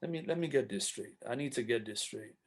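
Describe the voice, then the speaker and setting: A man hosting a casual podcast, playful and full of praise for his guest, stammering when excited. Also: medium pitch, fast talker, husky. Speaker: podcast host. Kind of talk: casual chat.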